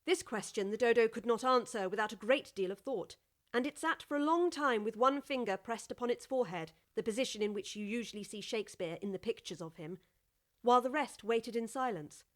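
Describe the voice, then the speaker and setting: A woman's voice: fairly high pitch, fairly quick. A woman narrator reading a scripted children's audiobook story.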